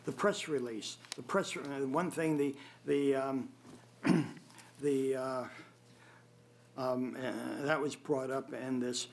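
Older male witness, medium pitch, hesitant and halting, formal hearing testimony on a table mic. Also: steady tone, slight background noise. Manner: halting, hesitant, with frequent long pauses and throat clearing. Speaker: appellant testifying at a public hearing. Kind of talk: formal testimony, unscripted rebuttal. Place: government board meeting room, indoors. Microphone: gooseneck table microphone.

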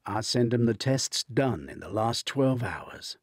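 A man voicing a brisk, commanding male special-ops leader in a thriller audiobook, terse and authoritative. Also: mid-range voice, expressive, slightly rough, no echo, clean studio sound. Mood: matter-of-fact. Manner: terse, authoritative, matter-of-fact.

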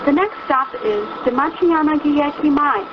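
A woman reading scripted bus announcements for tourists in a measured, formal way. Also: high voice. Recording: muffled recording inside a noisy moving bus. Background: loud vehicle noise.

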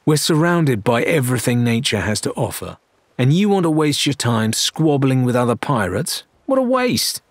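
A man's voice: medium pitch, sing-song, moderate pace, no echo, pitched above the performer's own voice, lightly acted. A man voicing a headstrong pirate woman in an audiobook, indignant and disdainful. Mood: disgusted. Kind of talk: audiobook character dialogue.

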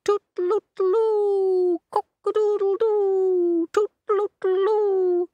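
Storybook rooster, dramatic, shrill, very high, drawn-out crowing delivery, swooping. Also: female voice, big pitch swings, gravelly, no room sound, highs cut off, heavily acted. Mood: crowing loudly. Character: loud crowing cockerel, doomed and dramatic. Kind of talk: storybook character voice.

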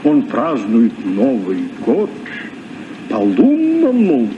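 An actor playing a courteous, grandiose Arabian Nights sailor with a florid, ceremonious manner in an old radio play. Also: male voice, medium pitch, wildly swooping pitch, takes it slowly. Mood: grandly courteous. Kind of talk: vintage Soviet radio play acting. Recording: muffled, noisy old radio recording.

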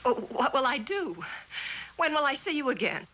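An actress playing an unhappy, torn wife in a mid-century suspense drama, with a husky voice. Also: female voice, high-pitched, very animated, moderate pace, pushed and bright, full character voice, low-quality recording. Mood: anxious, pleading.

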